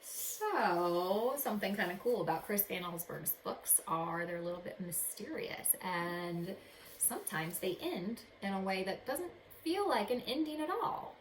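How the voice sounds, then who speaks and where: A woman teacher reading a storybook aloud to children in an expressive way. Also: medium pitch, unhurried. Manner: expressive, addresses young listeners with questions. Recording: echoey room with faint background noise.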